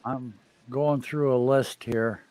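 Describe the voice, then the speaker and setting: A man hosting a casual sports livestream, cracking quick teasing jokes with his co-hosts. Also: mid-range voice, fairly even intonation, a little mellow, rough recording. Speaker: stream host. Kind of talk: casual sports stream chat.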